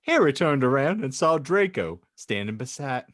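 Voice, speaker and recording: Theatrical, expressive man with dry sound. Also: mid-range voice, moderate pace, no room sound. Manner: mock-solemn, wry, theatrical. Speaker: podcast host and narrator. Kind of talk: comedic banter and dramatic story reading.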